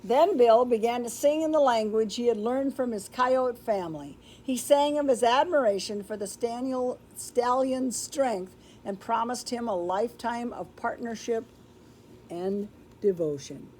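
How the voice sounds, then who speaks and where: An older woman reading a children's story aloud in a deliberate, expressive way, with explanatory asides. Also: fairly high pitch, low-quality recording. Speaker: storybook reader. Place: indoor room with books, like a classroom or library.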